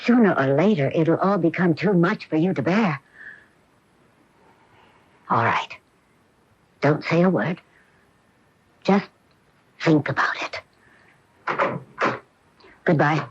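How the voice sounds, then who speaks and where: An actress playing a bitter, accusing, grieving older sister in a 1980s radio drama. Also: female voice, deep voice, lively intonation, slow, pauses often, breathy edge, no room sound, full character voice. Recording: dull AM radio recording.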